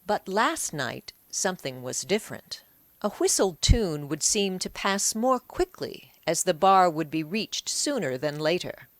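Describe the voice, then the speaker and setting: A woman narrates a story for an audiobook. Her voice sits a bit low and moves with lively intonation, recorded very dry and close. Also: measured pace, no room sound.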